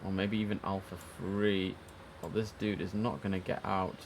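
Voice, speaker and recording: A man's voice: low voice, little pitch movement, moderate pace. A man livestreaming as an air traffic controller, giving clipped formal radio instructions and muttering casual commentary to himself in between.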